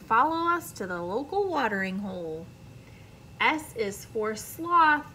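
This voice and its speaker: American lady reading a kids book out loud, kinda high bright voice, expressive, bit of room noise. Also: measured pace, rough recording. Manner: expressive, careful reading with friendly asides to young listeners. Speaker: teacher reading aloud. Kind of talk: children's picture book read-aloud. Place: indoor classroom.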